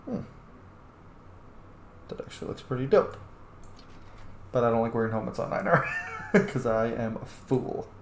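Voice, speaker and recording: A man's voice: mid-range voice, expressive, measured pace, pauses often. Echoey man doing casual game commentary. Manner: informal, muttering item names to himself. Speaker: gaming video host. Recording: roomy echo, faint background noise.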